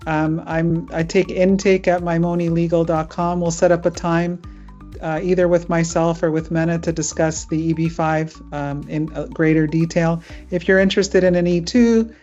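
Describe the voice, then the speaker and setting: Middle-aged woman host, low voice, chatty and informal, muffled video-call sound. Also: a little mellow. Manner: chatty, with frequent fillers and laughs. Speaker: immigration lawyer hosting a webinar. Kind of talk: casual webinar talk.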